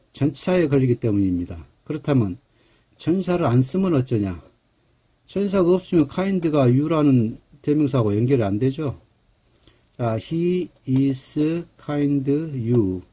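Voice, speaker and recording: A middle-aged man with a Jeolla Korean accent giving a relaxed English grammar lecture, pausing on fillers and correcting himself. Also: medium pitch, slightly rough. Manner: relaxed, slightly hesitant, with fillers and self-corrections.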